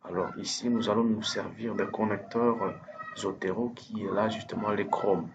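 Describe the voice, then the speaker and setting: A man with French as his first language, giving a hesitant, step-by-step software tutorial over a screen recording, full of fillers and restarts. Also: lowish voice, flat delivery, normal speed, lo-fi sound, noisy background. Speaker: instructor.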